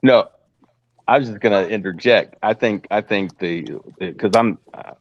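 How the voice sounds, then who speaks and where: Man panelist, very deep voice, halting casual talk, dry muffled audio. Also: no room sound. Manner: halting, casual, many fillers. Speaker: panel guest. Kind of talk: panel discussion.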